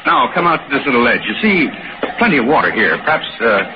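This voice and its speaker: A man's voice: mid-range voice, big pitch swings, fast talker, natural voice. Man acting swooping elderly professor, noisy old radio. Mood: falsely jovial. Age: older. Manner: avuncular, stammering, wordy. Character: smooth, scheming elderly con-man professor, genial and falsely fatherly. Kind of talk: 1940s radio acting, dialogue and first-person narration. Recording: noisy, muffled 1940s radio recording.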